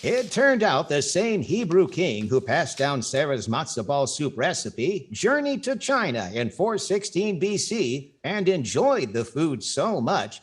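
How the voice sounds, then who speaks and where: A man's voice: a little high, big pitch swings, measured pace, hardly any pauses, slightly rough, heavily acted. A man playing a wry 1940s radio comedian, narrating with dry, mock-serious delivery. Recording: music behind the voice.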